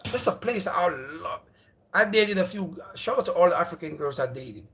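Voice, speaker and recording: A man hosts a casual livestream from home. His voice sits fairly high for a man. He rambles and repeats himself in a loose, friendly way, calling viewers brother and bro. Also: animated, normal speed, vocal fry, a bit bright, a little hiss or hum. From Jamaica. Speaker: livestream host.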